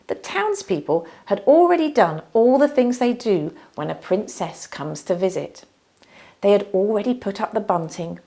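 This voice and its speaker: A woman reading a children's storybook aloud as narrator, with dramatic, deliberate emphasis. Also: medium pitch, mellow.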